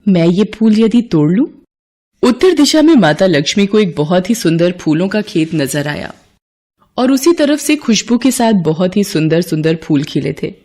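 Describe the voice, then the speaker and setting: A woman's voice: lowish voice, sing-song, normal speed, darkish tone. A woman narrator telling a scripted devotional folk tale in a storytelling style.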